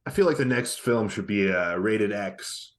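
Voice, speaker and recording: A man in casual comic banter as a guest, speaking in a deadpan, halting way. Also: on the low side. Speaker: comedy guest.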